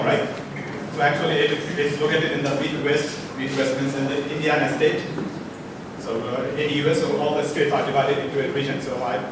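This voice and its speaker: Chatty lecturer in an echoey hall. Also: male voice, on the high side. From Nepal. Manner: conversational, asks the audience questions, many restarts. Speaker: guest lecturer, professor. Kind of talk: informal classroom lecture. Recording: echoey hall, distant mic, noise.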